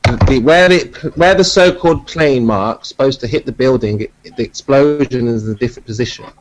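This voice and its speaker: A man's voice: mid-range voice, a little fast, low-quality recording. A man explaining and arguing casually in an online group call. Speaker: participant in an online group debate. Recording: faint noise and clicks on call.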